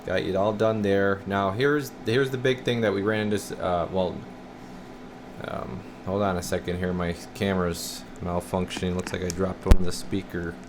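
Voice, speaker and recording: Male repair tech, deep-pitched, informal and folksy, demonstrating on camera in a workshop with clear background noise. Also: moderate pace. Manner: folksy, informal, addressing viewers as guys. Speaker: radio repair technician. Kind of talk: casual hands-on repair walkthrough to camera. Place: indoor radio repair workshop. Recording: clear steady room noise.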